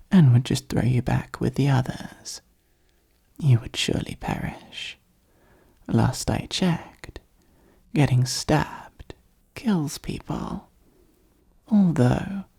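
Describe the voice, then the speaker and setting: A performer voicing a teasing, possessive demon in an ASMR roleplay, in a breathy, husky whisper with a smug, dominant, drawn-out delivery. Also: medium pitch, warm tone, heavily acted. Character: teasing, possessive demon luring a summoned human into a contract. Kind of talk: ASMR roleplay, whispered and slow dramatic monologue.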